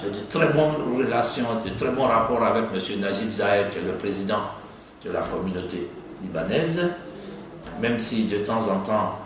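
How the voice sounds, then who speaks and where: Guy in his 50s, kinda low voice, interview chat, recorded far from the mic in a really echoey room. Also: animated, moderate pace. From Côte d'Ivoire (Abidjan), of Lebanese descent. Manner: repeats phrases for emphasis. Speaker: doctor and city councillor being interviewed. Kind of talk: conversational interview answers. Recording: echoey room, distant mic, faint noise.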